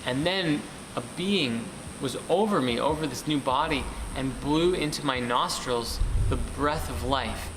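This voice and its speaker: Man, very slow, earnest, halting, long room reverb, steady background hum. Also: mid-range voice. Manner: slow, earnest, searching for words. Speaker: ceremony participant. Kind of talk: personal testimony. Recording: roomy reverb with background noise.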